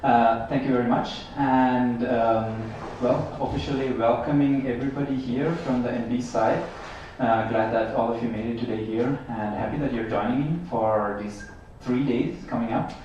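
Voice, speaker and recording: A man's voice: mid-range voice, steady tone, moderate pace, hardly any pauses, darkish tone. A man giving an unscripted welcome speech as a board member, hesitant and a little rambling. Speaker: board member opening an assembly. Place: large echoing room, heard from far off. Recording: echoey hall, distant, dull, faint noise.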